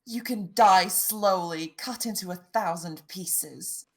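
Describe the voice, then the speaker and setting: A woman's voice: medium pitch, slow. A woman playing a proud, grieving young noblewoman, haughty and bitterly defiant, in a fairy-tale table read. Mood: cold fury. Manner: haughty, wounded, intense.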